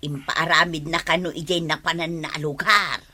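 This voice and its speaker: A woman's voice: deep voice, fairly even intonation, normal speed, bright, forceful tone, exaggerated voice. A woman with an Ilocano Filipino accent playing a chatty, nosy villager in a comedy radio drama.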